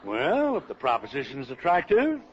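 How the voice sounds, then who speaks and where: An actor playing a jaunty, smug older swindler with pompous, flowery speech, in 1940s radio style. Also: male voice, mid-range voice, wildly swooping pitch, moderate pace. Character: jaunty, florid older male swindler, smugly confident. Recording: muffled old radio broadcast.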